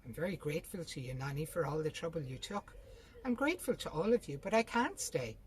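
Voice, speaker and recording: Storybook heroine: weary woman, gentle yet obstinate, apologetic acted by a female reader. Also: low voice, expressive, fairly quick, a little hiss or hum. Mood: firm, regretful. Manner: faint, apologetic but firm. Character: worn, gentle, obstinate youngest sister past her prime.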